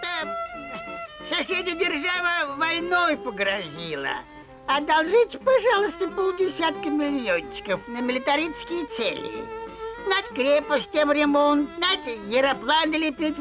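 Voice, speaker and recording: Blustery, folksy fairy-tale tsar: whiny, drawn-out delivery, very animated, cartoonish. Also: male voice, very high voice, exaggerated voice. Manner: theatrical, folksy, swinging from pleading to scolding. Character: blustering, whiny old-fashioned Russian tsar, comic cartoon ruler. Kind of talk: comic fairy-tale audio play acting.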